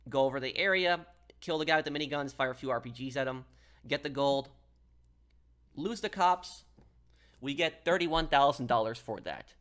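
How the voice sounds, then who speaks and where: A man's voice: mid-range voice, moderate pace, pauses often, fairly bright tone. A man giving a casual, step-by-step gameplay tutorial in an instructional way, like an online gaming guide host.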